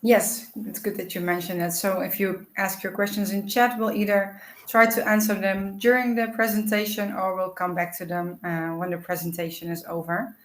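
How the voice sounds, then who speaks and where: A woman hosts an informational webinar and walks listeners through the facts in a friendly, explanatory way. She fills her pauses with "uh" and "um". Her voice sits in the middle of her range and carries a roomy echo. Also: mid-range voice, little pitch movement. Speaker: webinar host.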